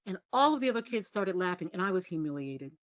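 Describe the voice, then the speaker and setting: A middle-aged woman journalist from the US, telling candid stories as a podcast guest in a low, resonant voice. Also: fast talker, no echo. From United States. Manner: lively, candid and self-deprecating, laughs often. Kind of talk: casual storytelling interview.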